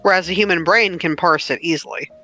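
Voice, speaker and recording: Woman, bright forceful voice, casual livestream chat, faint music behind. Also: lowish voice, a little fast.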